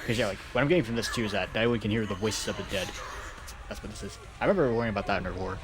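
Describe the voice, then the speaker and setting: A man's voice: lowish voice, very fast, background noise. A man streaming a game, commenting casually and reading text aloud in an informal, rambling, jokey way. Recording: music behind the voice.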